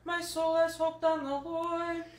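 A woman church chanter singing psalm verses in a very slow liturgical chant. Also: very high voice, little pitch movement. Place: large church. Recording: echoey church with choral singing.

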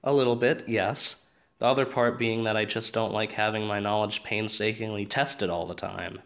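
Matt, man playing creaky-voiced wry teenage boy. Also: fairly low pitch, steady tone, moderate pace, fairly bright tone. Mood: wry, grumbling. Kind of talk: audio drama dialogue.

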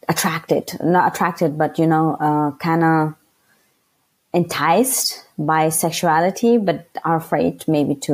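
A young woman from Afghanistan speaking frankly as an interview guest, often pausing to search for words with fillers. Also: deep voice, a little mellow. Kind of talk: candid conversational interview.

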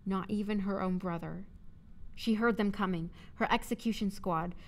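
A woman's voice: mid-range voice, moderate pace, a little mellow. A woman voice actor reading scripted audio drama narration, with casual chat between takes.

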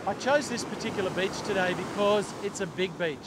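A middle-aged man with an Australian accent talks in a relaxed, instructional vlog style. His voice sits high for a man. It is recorded outdoors on a beach, with clear noise from waves and wind behind him. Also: fairly quick. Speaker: fishing instructor and vlogger. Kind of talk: casual instructional talk to camera. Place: open ocean beach, outdoors. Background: waves, surf and wind.

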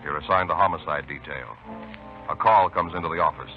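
A man's voice: a bass voice, fairly quick, soft background music. A man reading a solemn, scripted 1950s radio introduction as announcer, on an old muffled recording.

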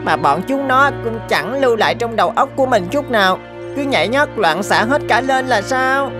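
A woman's voice: a little high, expressive, normal speed, close to an everyday voice. A woman voicing a lazy, carefree young weasel pupil in a children's cartoon, whiny and grumbling. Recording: music behind the voice.

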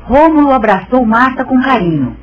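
A woman's voice: sits high, very animated, normal speed. A woman narrating a scripted radio drama. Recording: dull lo-fi sound. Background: music.

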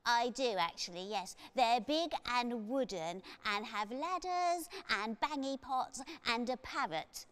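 An excitable, harebrained hare from a children's storybook, acted out by a woman. The voice is high and swoops about, with emphatic, childlike insistence and plenty of cheek. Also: slow, hardly any pauses. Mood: insistent.

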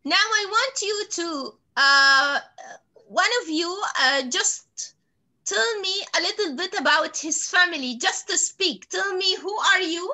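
A woman's voice: very high voice, expressive, measured pace, sharp tone, rough recording. A young adult woman teaching an online English class to children over a video call, encouraging and full of praise. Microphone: computer mic on video call.

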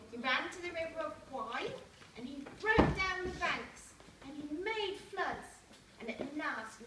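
A young woman with a high voice reads a children's story at a slow, deliberate pace, pausing for asides to her small listeners. She is heard from far off in a school hall. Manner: simple, deliberate phrasing, checking in with young listeners. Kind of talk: animated picture-book read-aloud with asides to children. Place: large indoor primary school hall. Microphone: camera several metres away. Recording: echoey school hall, distant camera, noisy room.

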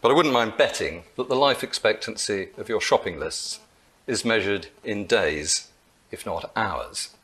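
A man's voice: on the low side, measured pace, a bit bright, breathy edge. Older man lecturing with dry wit. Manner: dry, anecdotal storytelling. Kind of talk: lecture with wry humour. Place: lecture hall at a lectern. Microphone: lectern mic.

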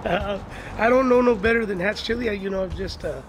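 A man's voice: sits high, fast, slight background noise, low-quality recording. A man in his forties with an American accent, answering interview questions in a folksy, plain-spoken way at an outdoor stand. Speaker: roadside chile vendor interviewed. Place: open-sided roadside stand, outdoors. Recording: music over outdoor interview. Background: clear background music, outdoor sounds.

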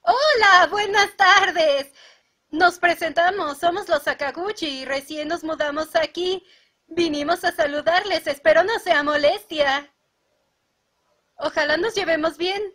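A woman acting a polite, chatty mother in a fan-dubbed anime, with a Latin American Spanish accent and an expressive, courteous manner. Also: very high voice, a little slow, exaggerated voice. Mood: polite greeting. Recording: faint background noise.

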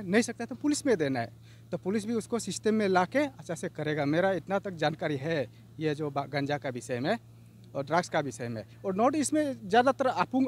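A middle-aged man from Arunachal Pradesh, earnestly answering a street interview outdoors by a river into a handheld mic. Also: high-pitched. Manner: earnest, repetitive, appealing. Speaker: vox pop interviewee.

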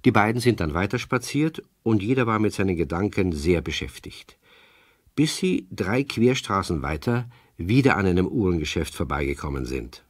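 Deep-voiced man narrating a children's story. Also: animated, normal speed, no echo, clean studio sound.

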